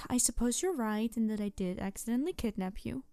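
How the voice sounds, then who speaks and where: A woman voicing a playful, mischievous tanuki spirit girl in a roleplay audio drama, teasing the listener in an excitable, rambling way. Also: on the high side, a little fast, no echo, clean studio sound. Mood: flustered, apologetic. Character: playful, mischievous young-sounding tanuki trickster spirit girl, over two hundred.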